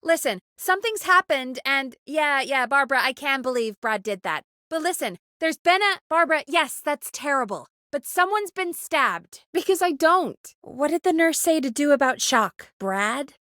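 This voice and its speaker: A young female radio producer in a video game, with a high voice and a close, dry sound. She is earnest and capable but anxious, speaking naturally with small hesitant asides. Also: lively intonation, normal speed, hardly any pauses, bright, forceful tone, no echo. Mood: urgent, exasperated.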